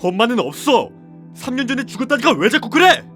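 A man's voice: very high-pitched, sing-song, brisk, fairly bright tone, faint music behind, exaggerated voice. A man playing a troubled widowed father in his thirties who narrates a scripted horror story and acts out his lines. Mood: alarmed, angry.

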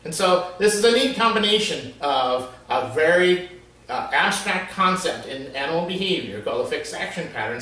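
A man in his fifties from the Florida Keys, an animal behaviorist explaining a concept in a measured way with frequent fillers, filmed on a covered porch. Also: mid-range voice, a bit bright, a little hiss or hum. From Florida Keys, USA. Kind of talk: explanatory video lesson. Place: covered porch with wooden wall. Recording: very echoey, slightly distant.

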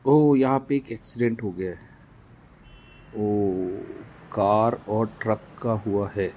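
A man vlogging a road trip from inside a moving car, narrating casually with frequent filler pauses. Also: fairly low pitch, warm tone. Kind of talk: casual narration while driving. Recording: car with faint road noise.

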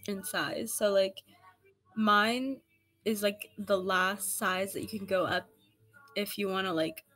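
An eighteen-year-old woman from California, chatting over a video call from her bed. She speaks softly and hesitantly, leaning on fillers between thoughts, at a medium pitch. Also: steady tone, slow pace. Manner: soft-spoken, chatty and hesitant, many "like" fillers. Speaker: stranger on video chat. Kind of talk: casual video chat. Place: bedroom, lying on a bed.

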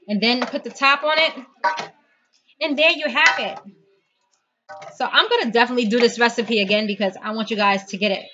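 A woman in her thirties giving a casual, chatty home cooking vlog, talking viewers through each step while her hands are busy. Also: mid-range voice. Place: home kitchen, indoors. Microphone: built-in camera or phone mic within arm's reach. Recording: dull lo-fi audio, loud noise, clicking.

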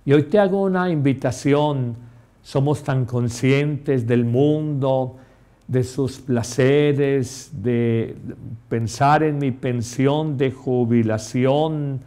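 Slow, emphatic middle-aged priest preaching. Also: male voice, medium pitch, dark tone. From Colombia. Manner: slow, emphatic preaching, addressing the listener directly. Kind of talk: homily. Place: small chapel set, indoors.

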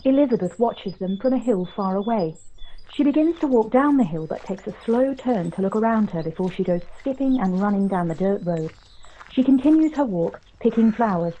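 A woman's voice: mid-range voice, expressive, fairly quick, mellow. A woman narrating scripted stage directions for a live-read drama. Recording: dull video call, faint noise.

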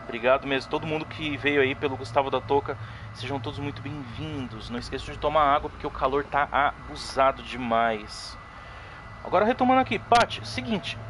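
A man's voice: a little high, brisk, slight background noise. A young Brazilian man streaming a horror game, chatting casually with viewers and thanking followers. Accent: Brazilian Portuguese. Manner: chatty, thanks followers, sudden excited yelps. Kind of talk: casual live game commentary.

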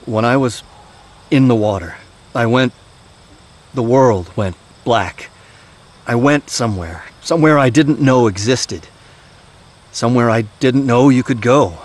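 An actor playing a weary, haunted middle-aged soldier and gentle father in an audio drama, halting and confiding. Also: medium pitch, close to an everyday voice. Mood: haunted, hesitant. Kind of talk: naturalistic audio drama acting. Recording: steady outdoor night-insect noise.

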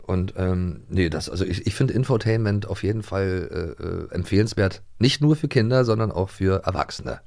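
A middle-aged man co-hosting a podcast in casual chat, animated and fond of acting out voices when he tells stories. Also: sits low, moderate pace, creaky, hardly any pauses. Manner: animated, acts out voices in anecdotes. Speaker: podcast co-host.

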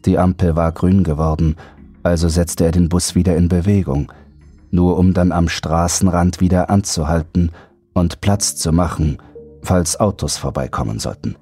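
Horror audiobook narrator, male, very low and dark mellow voice, faint music underneath. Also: steady tone, moderate pace. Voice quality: deep, husky, mellow. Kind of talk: scripted first-person horror narration.

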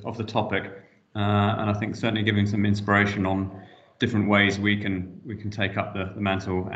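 Low-voiced man chairing a video call. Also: fairly bright tone, muffled recording. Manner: hesitant, many fillers. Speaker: panel chair. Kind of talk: casual online meeting moderation. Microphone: laptop mic on video call.

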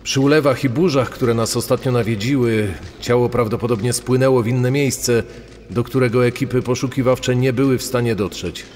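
A solemn male spokesman played by an actor makes a formal statement in a true-crime drama. His measured, mid-pitched voice rings with long reverb. Faint music sits behind it. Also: fairly even intonation, a little mellow, natural voice. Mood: grave, formal. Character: official spokesman solemnly announcing a search's failure.

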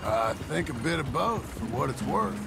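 An actor playing a gruff, wary Old West rancher in a video game, with a terse, sceptical American drawl. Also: male voice, mid-range voice, big pitch swings, moderate pace, very noisy. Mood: dry reserve. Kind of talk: cinematic video game dialogue.

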